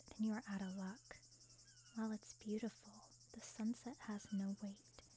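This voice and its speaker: A woman playing an aloof, melancholy young photographer in an anime-style ASMR roleplay, speaking in a soft, pensive way. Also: mid-range voice, slight background noise, natural voice, poor audio. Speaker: voice actor in fan roleplay.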